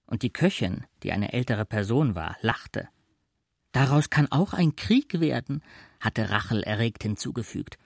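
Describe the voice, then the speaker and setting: A man reads literary narration for a radio drama. His voice sits at a middle pitch, and the recording is dry, without room sound. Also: animated, unhurried, creaky, dry recording. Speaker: narrator of a radio play adaptation. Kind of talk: literary scripted storytelling.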